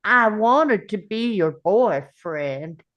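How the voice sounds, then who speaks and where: A woman at a table read playing a simple, earnest, gentle young man in love, speaking slowly. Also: medium pitch, lively intonation.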